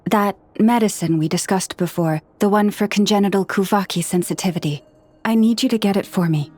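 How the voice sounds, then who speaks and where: An actress voicing a calm, earnest young woman leader who speaks in a quiet, measured, solemn way. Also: medium pitch, soft background music, natural voice. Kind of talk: video game dub acting.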